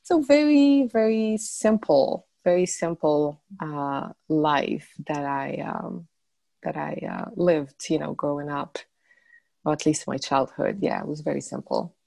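A woman from Morocco in her forties with a lower voice, speaking as an interview guest. She talks in a relaxed, thoughtful way with small laughs, and her voice sounds close and dry. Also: measured pace, gravelly, no room sound. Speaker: guest, life coach. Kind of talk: casual interview chat.

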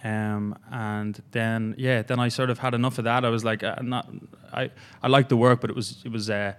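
A young man with an Irish accent, a gym coach, telling his story in a halting, rambling way with many fillers in a casual interview. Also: medium pitch, little pitch movement. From Ireland.

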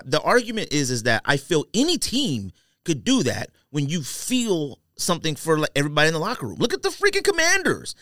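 A man's voice: fairly high pitch, huge pitch swings, brisk, fairly bright tone, dry recording, studio quality. A man co-hosting a casual sports podcast, arguing emphatically and often repeating himself to make a point. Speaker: podcast co-host.

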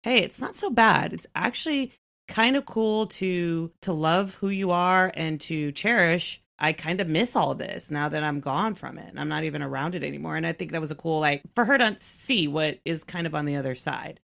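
Deep, gravelly-voiced woman podcaster. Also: normal speed. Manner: relaxed, agreeable, fillers like "like" and "you know". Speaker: podcast co-host. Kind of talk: casual chat discussing a TV show.

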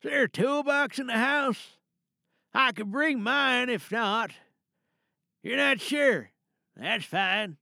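A man playing a protective, grim older father in an audio drama monologue, speaking in a halting, deliberate and coldly calm way. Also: extremely high pitch, creaky, no room sound, studio quality, heavily acted. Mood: grimly practical. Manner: halting, hushed reassurance turning cold and deliberate. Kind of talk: dramatic audio monologue, one side of a phone call.

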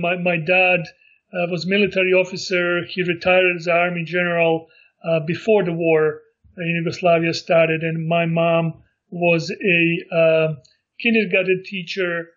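Man, middle-aged, high voice, halting with fillers, dull lo-fi sound. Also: little pitch movement, fairly bright tone. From Montenegro. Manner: halting, many fillers and restarts. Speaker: interview guest. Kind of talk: casual remote interview.

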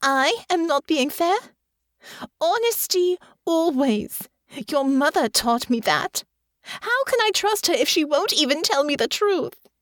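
A woman's voice: sits high, lively intonation, a little slow, no echo, clean studio sound, pitched above the performer's own voice. A woman voicing a proud, wounded young noblewoman in an audiobook, imperious and angry, tightly controlled or sharply snapping. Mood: furious, indignant. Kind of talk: audiobook character acting.

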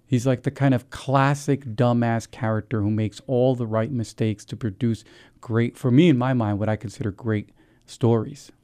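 Mellow-voiced man musing casually. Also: medium pitch, steady tone, normal speed, clear, resonant tone. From New Jersey, USA. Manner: reflective, casual slang mixed with literary phrasing. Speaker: author, interview guest. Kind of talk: relaxed conversational interview.

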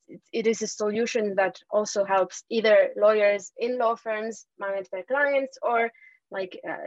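A woman's voice: medium pitch, dry recording. Chatty woman from Tunisia, lo-fi call. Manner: laughs often, warm and chatty. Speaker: podcast guest, lawyer. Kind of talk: casual interview chat.

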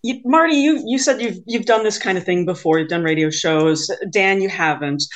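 A woman with a slightly low voice hosts an interview. She speaks conversationally as she puts questions to her guests. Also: moderate pace. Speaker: interviewer, host.

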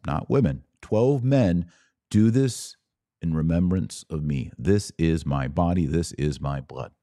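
A man with a low voice delivers religious commentary as a podcast host, recorded close and dry. His intonation swings widely as he teaches, leaning hard on key words with deliberate pauses and repetition. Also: a little mellow, no echo. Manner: didactic, emphatic, with deliberate pauses and repetition for stress. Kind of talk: religious commentary, explanatory monologue.

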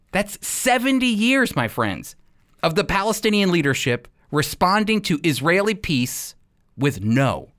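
A man's voice: a little high, fairly bright tone. A man delivering prepared, persuasive commentary as a program host, emphatic and using rhetorical repetition.

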